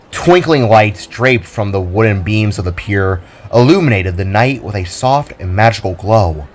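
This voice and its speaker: A man narrating his own audio drama in a descriptive storytelling style. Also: lowish voice. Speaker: narrator and writer.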